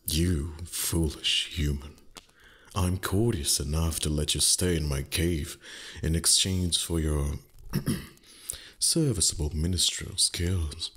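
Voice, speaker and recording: Haughty male dragon played by a man for ASMR roleplay: extremely low, slow delivery, condescending and teasing, secretly fond. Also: fairly even intonation, fairly bright tone, exaggerated voice. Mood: smug, mocking. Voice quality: very deep, husky, breathy. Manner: slow, condescending, flustered tsundere pauses. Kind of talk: intimate roleplay ASMR acting.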